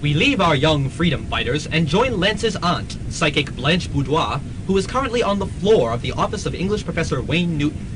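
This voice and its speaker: A man's voice: fairly high pitch, very animated, normal speed, hardly any pauses, background noise. A young man narrating a college radio drama in a mock-serious announcer manner. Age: young adult.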